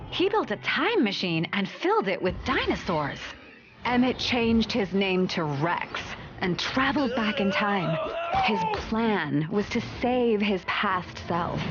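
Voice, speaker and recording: A woman playing a sassy, confident hero who narrates an animated game in a wry, conversational way with playful asides. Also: medium pitch, dull audio. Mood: mock dramatic. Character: sassy, confident female hero recapping the adventure. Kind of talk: conversational scripted cutscene narration.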